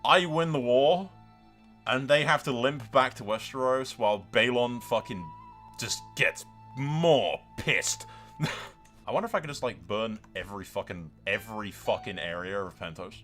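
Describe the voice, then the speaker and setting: A man giving excited, sweary video game commentary with a theatrical, roleplaying streak. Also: medium pitch, normal speed, soft background music.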